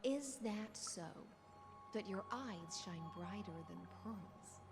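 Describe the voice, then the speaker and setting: An actress voicing a languid, menacing sea-born noblewoman in a video game, soft and unhurried, teasing and coolly philosophical. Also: medium pitch, animated, over music. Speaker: game character.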